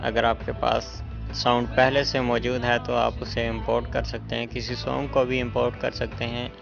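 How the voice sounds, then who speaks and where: A man's voice: mid-range voice, little pitch movement, normal speed, vocal fry. A man giving a casual step-by-step app tutorial as the host of a tech video. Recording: muffled lo-fi voice with background music. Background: music.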